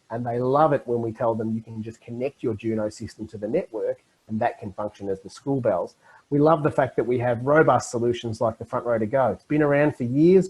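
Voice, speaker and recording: A middle-aged man presenting a webinar to teachers over a video call, explaining in an animated way. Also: mid-range voice, slightly rough, rough recording.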